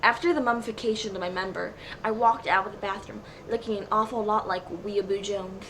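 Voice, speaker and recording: A woman's voice: mid-range voice, steady tone, a little fast, a bit bright. A woman narrating a comedic dramatic reading of fan fiction in a mock-serious storybook manner. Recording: clearly noisy home recording.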